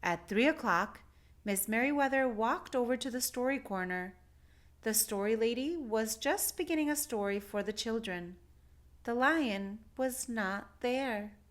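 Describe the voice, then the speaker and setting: A woman narrating a picture book aloud for children, with deliberate, expressive phrasing. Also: on the high side. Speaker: children's story reader.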